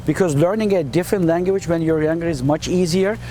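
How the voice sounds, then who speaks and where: Give me a Turkish-accented man, a dean, answering quick questions earnestly, with a faint hum of traffic behind. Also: on the high side, warm tone. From Turkey. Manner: earnest, repeats words when searching for phrasing. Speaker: hospitality school dean. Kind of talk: answering rapid-fire interview questions.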